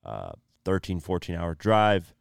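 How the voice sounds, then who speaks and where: A tired young man hosting his own podcast, losing his voice and keeping the energy low, talking casually with lots of fillers. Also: sing-song, a little slow, gravelly, clear, resonant tone, dry recording, clean studio sound. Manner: laid-back, subdued, lots of fillers like "you know" and "um". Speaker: podcast host. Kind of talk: casual solo podcast talk.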